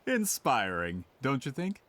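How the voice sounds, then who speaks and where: A man playing a polite, ambitious, smooth-talking writer in an anime game, courteous and theatrical. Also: mid-range voice, wildly swooping pitch, a little slow, fairly bright tone, dry recording. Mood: persuasive. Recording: faint game music behind.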